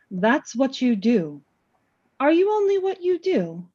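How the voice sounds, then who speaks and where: Death as a female spirit in a radio drama. She is calm, knowing and taunting, with solemn, measured, theatrical delivery. The sound is slightly dull. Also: high voice, full character voice. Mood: probing. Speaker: voice actor. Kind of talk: radio play acting.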